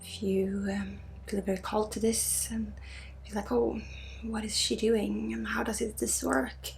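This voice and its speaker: Creaky, whispery woman doing ASMR. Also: medium pitch, flat delivery, unhurried, a little hiss or hum. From Sweden. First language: Swedish. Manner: soft-spoken, drifting into breathy whispers. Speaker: energy healer and spiritual guide. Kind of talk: ASMR guided energy healing session.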